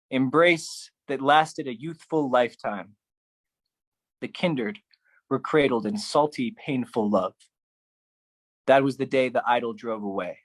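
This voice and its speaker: Man, solo monologue performer, poetic spoken word, slow delivery, dramatic shifts in intensity, medium pitch. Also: no echo.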